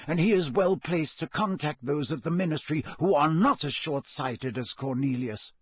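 A man's voice: a little high, breathy edge, dry recording, clean studio sound. A man doing a commanding old headmaster. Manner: measured, commanding, gently formal. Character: old wise male headmaster, calm authority.

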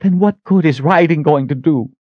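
A man's voice: a little high, moderate pace, no echo, dull audio, pitched above the performer's own voice, close to an everyday voice. A man voicing a stern, frightened and devout religious sister in an earnest, scolding manner, as in an audiobook. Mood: scolding, insistent.

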